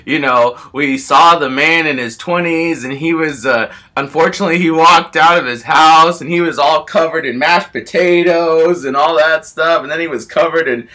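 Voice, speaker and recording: Man voicing an excitable bright rambling moon. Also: fairly high pitch, normal speed, hardly any pauses. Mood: excited. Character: excitable, giggly talking moon statue, gleeful male gossip. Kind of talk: improvised storytelling.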